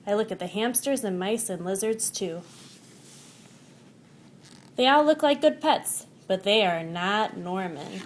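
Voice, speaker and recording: A woman's voice: medium pitch, measured pace, pauses often. Woman reading a storybook, noisy recording. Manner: animated, acts out dialogue and sound words. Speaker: storybook reader. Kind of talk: expressive children's storybook narration.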